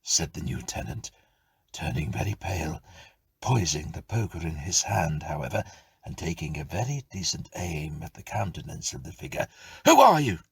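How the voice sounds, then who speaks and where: A man narrating a Victorian ghost story as a dramatic storyteller, measured and suspenseful, sometimes dropping to a hush. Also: a bass voice, creaky, slightly rough, no room sound.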